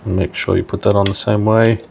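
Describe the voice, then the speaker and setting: Low-pitched man, creaky voice, explaining. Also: flat delivery, brisk, a little mellow, slight background noise. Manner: informal, step-by-step explaining. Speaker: product demonstrator. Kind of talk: hands-on tutorial narration.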